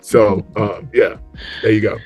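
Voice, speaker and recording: Man, casual group chat, informal halting delivery, music behind. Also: fairly low pitch, fairly even intonation, muffled recording. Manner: informal, halting and searching when thinking. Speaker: show guest. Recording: background music under the voice.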